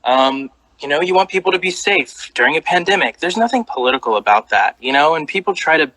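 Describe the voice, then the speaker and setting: Lively, bright-voiced male interviewer. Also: a little high, quick pace, no room sound, rough recording. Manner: lively, hesitant with many likes and ums. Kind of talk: casual interview chat.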